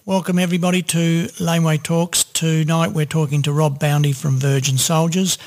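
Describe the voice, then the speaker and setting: A man's voice: on the high side, level tone, moderate pace. A middle-aged or older man with an Australian accent, hosting a casual interview. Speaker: podcast host, interviewer.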